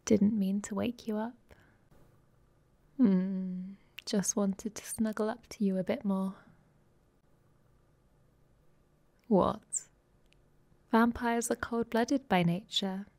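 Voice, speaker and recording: A woman acting as a sly, playfully dominant vampire girlfriend in an intimate ASMR audio roleplay. She talks slowly at a medium pitch, cooing and teasing affectionately, often sinking toward a whisper. The sound is close and dry. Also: fairly even intonation, creaky, warm tone, no room sound. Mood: sleepy, cuddly.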